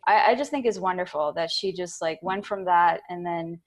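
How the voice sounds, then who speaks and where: A woman's voice: on the low side, normal speed. A woman from Puerto Rico co-hosting a podcast, talking in a casual, rambling and effusive way. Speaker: podcast co-host. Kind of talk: casual chat.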